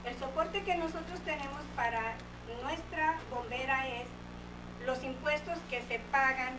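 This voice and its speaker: A woman's voice: high voice, normal speed. A woman speaking during public comment at a council meeting, insistent and impassioned, slipping in English words. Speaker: resident addressing the city council. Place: council chamber. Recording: echoey, distant, noisy room recording.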